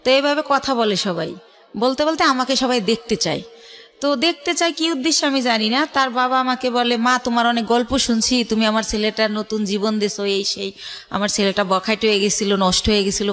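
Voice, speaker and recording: A woman's voice: mid-range voice, steady tone, brisk, a bit bright. A middle-aged woman with a colloquial Bangladeshi accent tells a personal story in a radio interview, conversationally, voicing reported dialogue as she goes. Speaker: guest telling her life story.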